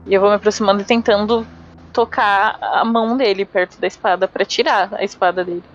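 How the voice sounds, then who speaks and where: A woman's voice: medium pitch, fairly even intonation, fairly quick. A woman with a Brazilian accent speaking casually as a player, describing her moves in a tabletop role-playing game. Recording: slightly dull, music behind.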